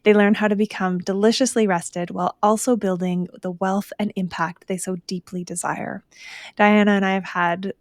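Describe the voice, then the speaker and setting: Gravelly-voiced woman podcast host. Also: mid-range voice, steady tone, moderate pace, dry recording, studio quality. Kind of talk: podcast interview.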